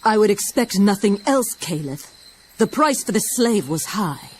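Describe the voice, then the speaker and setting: An actress playing a haughty, vengeful dark elf commander in a video game, imperious, menacing and theatrical. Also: female voice, mid-range voice, big pitch swings, measured pace, slight background noise. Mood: cold, commanding. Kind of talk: scripted video game cutscene acting.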